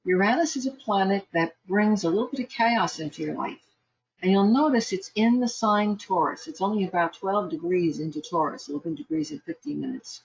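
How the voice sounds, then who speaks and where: A woman giving live psychic astrology readings online, chatty and quick to laugh, with frequent restarts. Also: medium pitch, animated, creaky, muffled recording, slight background noise.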